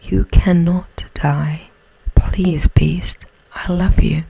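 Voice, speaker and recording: A woman voicing a tender, kind young fairy-tale heroine in a soft, heartfelt, breathy whisper. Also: lowish voice, fairly even intonation, very slow, no room sound, exaggerated voice, poor audio. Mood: distressed. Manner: soft, slightly lifted, heartfelt. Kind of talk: whispered bedtime storybook acting.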